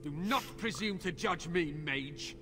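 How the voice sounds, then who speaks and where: An actor playing a tormented, fanatical young templar prisoner in a video game, speaking formally with anguished intensity. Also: male voice, on the high side, unhurried, lightly acted. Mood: grim urgency. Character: tormented captive templar young man, fanatical and distrustful of mages. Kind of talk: dramatic video game voice acting. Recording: music behind the voice.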